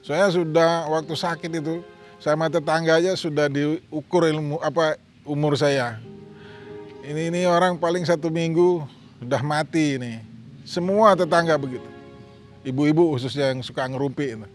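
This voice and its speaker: A man in his fifties from Sumatra tells his own story in an earnest, plain-spoken way, his voice lifting when the memories run strong. His pitch sits in an ordinary middle range, and soft music plays faintly beneath him. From Sumatra, Indonesia. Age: 50s. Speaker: testimonial speaker. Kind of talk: personal testimony interview.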